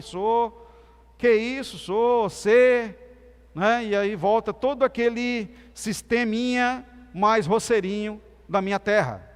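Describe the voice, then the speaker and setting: A man from Minas Gerais with a softened Minas Gerais accent, preaching a sermon as pastor with emphatic swings between loud and soft delivery. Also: very high-pitched, smooth. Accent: Brazilian Portuguese, softened Minas Gerais. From Minas Gerais, Brazil. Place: church sanctuary. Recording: church hall reverb.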